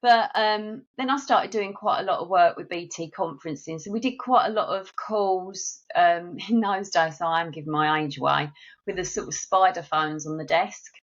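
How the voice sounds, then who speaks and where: A woman's voice: lowish voice, moderate pace, dull audio. A middle-aged woman with a South East London accent, telling stories in a friendly, chatty way as a podcast guest. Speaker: guest, marketing agency director. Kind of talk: casual interview chat.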